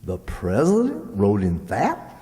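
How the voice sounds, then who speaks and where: An actor playing a naive, dryly wisecracking rustic sidekick in old-time radio comedy, with a folksy, hesitant drawl. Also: male voice, lowish voice, huge pitch swings, takes it slowly, warm tone, heavily acted. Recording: echoey hall with long reverb.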